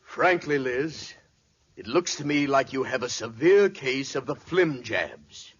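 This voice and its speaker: An actor playing a mock-grave family doctor in a 1940s radio comedy, with a deliberate bedside manner. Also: male voice, medium pitch, expressive, husky. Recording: very noisy, dull old radio recording.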